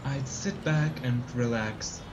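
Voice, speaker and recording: A man's voice: medium pitch, takes it slowly, muffled recording, background noise, close to an everyday voice. Man acting a slangy, folksy hitchhiker. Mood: easygoing, chatty. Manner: slangy, colloquial. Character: folksy, plain-spoken young male hitchhiker, easily spooked. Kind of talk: old-fashioned radio play acting.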